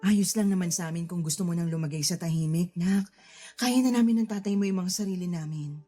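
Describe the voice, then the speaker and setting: A woman playing an affectionate middle-aged mother, expressive and motherly, in a radio drama. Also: fairly low pitch, fairly quick, soft background music, close to an everyday voice. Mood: motherly, concerned. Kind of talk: Tagalog radio drama acting.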